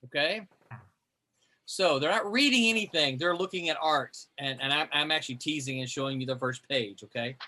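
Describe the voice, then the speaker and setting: A man's voice: on the high side, a little fast, sharp tone. A man hosting a group reading on a video call, explaining the rules in a chatty, rambling way. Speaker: host.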